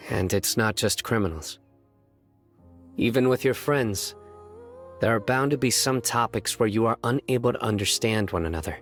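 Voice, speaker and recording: Guy acting a serious young game lawman, kinda low voice, dry n earnest delivery, some music playing under it. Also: close to an everyday voice. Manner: deadpan, earnest, measured. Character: serious, stoic young male lawman who earnestly tells bad jokes. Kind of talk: video game character voice acting.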